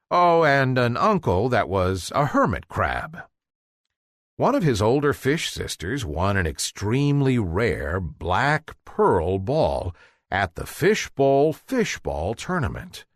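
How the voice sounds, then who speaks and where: Male storyteller for kids' bedtime tales: unhurried, hugely animated pitch, theatrical emphasis. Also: dry recording, studio quality. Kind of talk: children's bedtime story narration.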